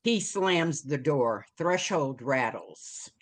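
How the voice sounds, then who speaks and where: A woman reading screenplay stage directions aloud as scripted narration, with dramatic, deliberate phrasing. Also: a bass voice, lively intonation.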